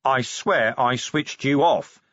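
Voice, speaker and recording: A man playing a gruff, weary middle-aged watch commander who speaks bluntly and grumbles. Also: medium pitch, slow pace, a bit bright, no echo, pitched above the performer's own voice. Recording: dry, slightly dull recording.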